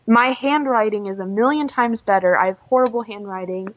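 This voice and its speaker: A woman's voice: medium pitch, brisk, no echo. A young woman with an American accent chatting informally as an interview guest on a voice call. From USA. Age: young adult. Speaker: interview guest, exchange student. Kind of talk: casual chat. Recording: dull lo-fi internet call audio.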